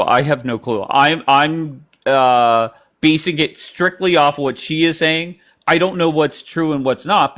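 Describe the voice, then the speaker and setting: A man hosting a live online debate, with a fairly high voice for a man. He keeps cutting in to hand out turns and steer the talk. His speech is casual and he swears now and then. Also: a bit bright. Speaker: host moderating a live debate.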